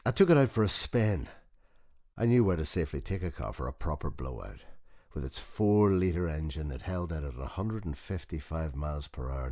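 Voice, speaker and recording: A man performing a dramatic audiobook monologue as an old working-class widower mechanic, gentle, proud and nostalgic, telling his story in a conversational way. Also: rumbling low, exaggerated voice. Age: older.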